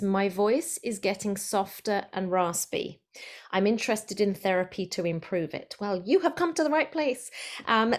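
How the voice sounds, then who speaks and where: A woman speech therapist giving an online presentation over a video call, explaining in a friendly way with some fillers. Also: medium pitch.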